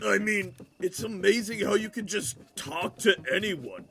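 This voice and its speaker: High, creaky, whiny village busybody. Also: male voice, bright, forceful tone, slight background noise, exaggerated voice. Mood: grudging, curious. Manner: hesitant, drawn-out, wheedling and defensive. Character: nosy, dim, whiny village man, Manny, large Hylian. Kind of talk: fantasy audio drama acting.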